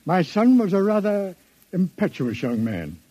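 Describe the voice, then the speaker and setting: A man's voice: high-pitched, sing-song, unhurried, warm tone, no echo, exaggerated voice. An actor playing an indignant, formal older wealthy father in an old-time radio drama. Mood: indignant, troubled. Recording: muffled, dry vintage radio recording.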